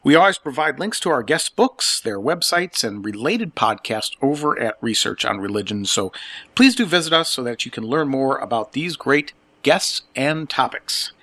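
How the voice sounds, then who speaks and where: A man's voice: medium pitch, sing-song, normal speed, a bit bright, no room sound. A man with a Wisconsin accent hosting an interview podcast, asking chatty questions with small asides. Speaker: podcast host.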